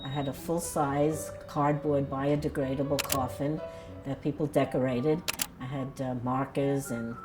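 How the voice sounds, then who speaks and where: An elderly woman, a retired nurse talking frankly and thoughtfully at her home in a documentary interview. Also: very deep voice. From Brooklyn, New York. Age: 80s. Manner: frank, plain-spoken and reflective. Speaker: retired nurse and death cafe organizer.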